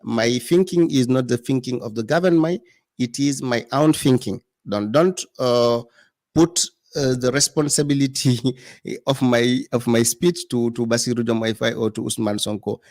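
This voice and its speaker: A man's voice: mid-range voice, moderate pace, darkish tone, no room sound, studio quality. A man as a guest commentator in an interview, speaking in earnest, repeating phrases for emphasis.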